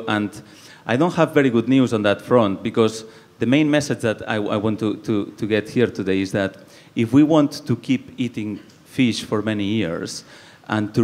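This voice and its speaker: A man from Barcelona with a Catalan Spanish accent, speaking earnestly on a debate panel with many fillers and restarts. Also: fairly low pitch. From Spain, Barcelona. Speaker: panelist, campaigner.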